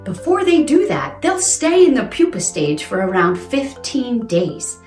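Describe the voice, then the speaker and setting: Animated high-voiced woman teaching children. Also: unhurried. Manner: exaggerated, exclamatory, asks questions of young listeners. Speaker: children's educational presenter. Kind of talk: playful children's science read-aloud. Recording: roomy echo with music underneath. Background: music.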